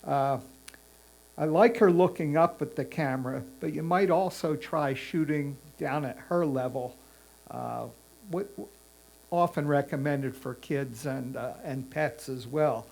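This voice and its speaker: A man's voice: on the high side, a little slow, creaky, darkish tone, rough recording. A man judging photographs, speaking in a hesitant, mild and considered way as he critiques an image. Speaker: photo competition judge. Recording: faint mains hum.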